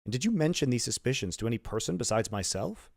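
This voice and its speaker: Hearty gentleman shipowner for a storybook, acted by a male reader: earnest, courteous, emphatic, lively pitch, very dry sound. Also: fast, no room sound. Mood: incredulous. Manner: emphatic, courteous, formally worded.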